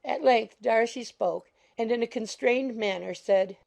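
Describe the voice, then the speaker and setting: A woman's voice: a little high, measured pace, vocal fry, a little mellow. A woman narrating a classic novel in a scripted, literary storytelling style. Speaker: audiobook narrator.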